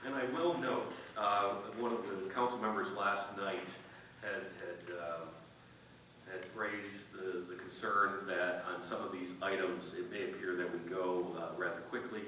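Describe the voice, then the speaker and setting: A man's voice: low-pitched, fairly even intonation, measured pace, bright, forceful tone. A man speaking formally as chairman of a council meeting, running through procedure. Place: indoor council meeting room. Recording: echoey council chamber, distant mic, faint noise.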